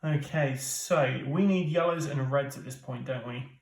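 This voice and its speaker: A man's voice: medium pitch, unhurried. A British man in his thirties hosting a board game playthrough, explaining rules and joking. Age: 30s. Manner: chatty, explains rules, jokey asides. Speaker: board game channel host. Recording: echoey room, mic at a distance.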